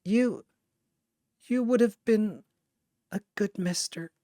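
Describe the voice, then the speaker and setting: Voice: medium pitch, slow pace, warm tone, no room sound, studio quality, voice pushed up, close to an everyday voice. A narrator voicing a childish, manipulative young girl fighter with pleading, insistent delivery in a dramatic battle story. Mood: weak, confused.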